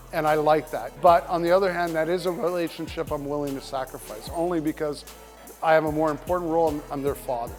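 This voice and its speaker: A man, father and coach to his daughters, speaking earnestly and reflectively in a documentary interview. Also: on the high side.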